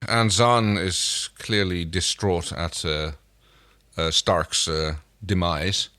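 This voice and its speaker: Low voiced guy cohosting a tv recap podcast, animated, dry close sound. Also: slow pace, no room sound. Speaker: podcast co-host. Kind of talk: casual TV episode commentary.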